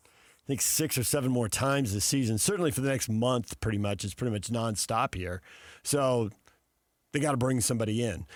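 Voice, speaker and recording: An American man hosting sports talk radio with a medium-pitched voice. He explains things at length and with emphasis in a conversational way, close on the mic with a dry sound. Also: dry recording. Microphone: close studio microphone.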